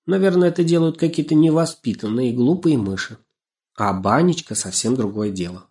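A man voicing a tiny, friendly, gentle fairy-tale little man who speaks earnestly and thoughtfully. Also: mid-range voice, animated, fairly quick, voice pushed up, lightly acted.

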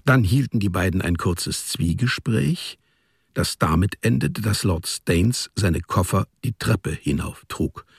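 A man narrating a literary radio drama as a storyteller, measured and deliberate. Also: deep voice, expressive, gravelly, breathy edge, dry recording, studio quality.

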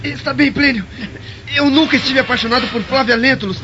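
A frightened young Roman in a radio drama. He pleads in a very high voice on a muffled recording with clear noise behind. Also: brisk. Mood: frightened, placating. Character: frightened young Roman brother held at threat.

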